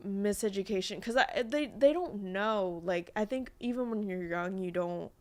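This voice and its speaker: A woman's voice: medium pitch, normal speed, no echo. A young woman whose first language was Vietnamese, chatting casually as a podcast guest with lots of fillers. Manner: chatty, many fillers and restarts. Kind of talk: casual chat.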